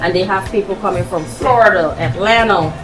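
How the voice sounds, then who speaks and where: A woman's voice: medium pitch, a little fast, music in the background, rough recording. A woman chatting casually as an interview guest, explaining things in a talkative way peppered with "you know". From Guyana, raised in Barbados. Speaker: restaurant owner, interview guest.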